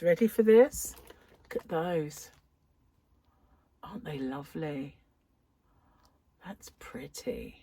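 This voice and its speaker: A woman chatting casually to followers as a craft vlogger during an unboxing, gushing and admiring with breathy asides. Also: low-pitched, warm tone. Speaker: craft vlogger and yarn shop owner. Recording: clear background noise with crinkling wrappers. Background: crinkling cellophane, clicks and rustling.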